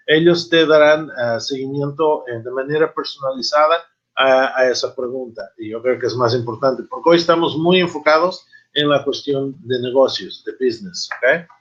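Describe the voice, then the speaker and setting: A man in his fifties hosting a webinar on a video call, informal and halting as he reads and answers viewer questions, switching between languages. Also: mid-range voice. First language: not Spanish, though speaking it at times. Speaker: webinar host. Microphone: video call, computer microphone. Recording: slightly dull video-call audio.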